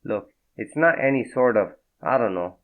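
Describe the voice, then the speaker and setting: A man's voice: medium pitch, lively intonation, moderate pace, close to an everyday voice. A man playing a weary, tough-talking mobster who speaks bluntly and impatiently. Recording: tinny phone-like sound.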